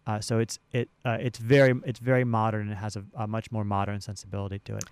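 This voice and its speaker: An American man, a theater director, answering as a radio guest in a measured, conversational way, often restating his phrases. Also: on the low side, little pitch movement, clean tone. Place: radio station studio. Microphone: close studio radio microphone.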